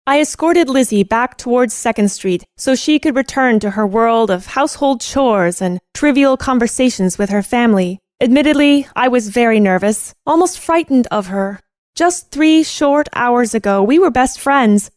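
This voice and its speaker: A woman's voice: a little high, normal speed, darkish tone, dry recording, clean studio sound, lightly acted. A woman playing an earnest, anxious young girl who narrates and talks in an old-fashioned radio play, with formal phrasing. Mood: reflective, uneasy.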